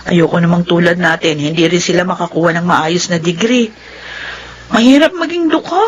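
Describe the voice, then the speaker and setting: A woman's voice: fairly low pitch, moderate pace, breathy edge, muffled recording. A woman playing a hopeful, weary working mother of twins in a radio drama, earnest and motherly. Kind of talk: naturalistic radio drama acting.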